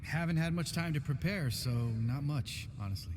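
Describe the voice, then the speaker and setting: A man's voice: a little high, moderate pace, natural voice. A man playing a blunt, loyal warrior in a video game, plain-spoken with his comrades. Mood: reassuring. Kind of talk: video game cutscene acting. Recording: clear ambient noise behind voice.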